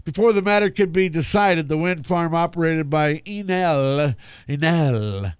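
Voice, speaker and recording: Folksy radio host, dry sound. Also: male voice, on the high side, unhurried, smooth, no echo. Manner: folksy, chatty, stumbles over names and words while reading. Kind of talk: casual radio talk and news reading.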